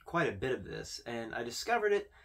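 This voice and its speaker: A man's voice: medium pitch, big pitch swings, brisk, heavy background noise. A young man hosting a video with casual, informal commentary, talking conversationally to camera. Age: young adult. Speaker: video host. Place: small indoor room with posters.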